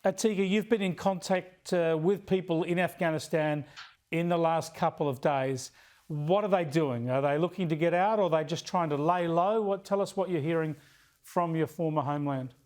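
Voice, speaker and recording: A man with a slightly high voice presents a news interview. He talks conversationally and with sympathy as he puts questions to his guest. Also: moderate pace, darkish tone. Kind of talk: broadcast interview.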